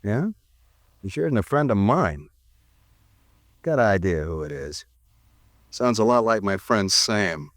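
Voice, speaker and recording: Cocky, low-voiced crime suspect. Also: male voice, big pitch swings, unhurried, pauses often, no echo, studio quality. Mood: sarcastic, guarded. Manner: slangy, defiant wise guy. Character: cocky, sarcastic ex-con murder suspect. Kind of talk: 1950s radio crime drama acting.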